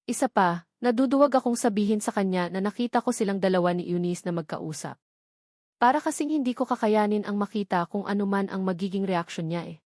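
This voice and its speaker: A woman narrates a romance novel in the first person. Her voice sits at a middle pitch for a woman. The recording is dry, with little room sound. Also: brisk, a little mellow, no room sound. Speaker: audiobook narrator.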